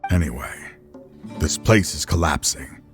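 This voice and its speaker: Low-voiced, weary, remorseful officer. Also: male voice, expressive, over music, exaggerated voice. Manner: grave, measured, sighing. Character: dying, remorseful stern male officer, resolute and weary. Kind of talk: dramatic video game voice acting.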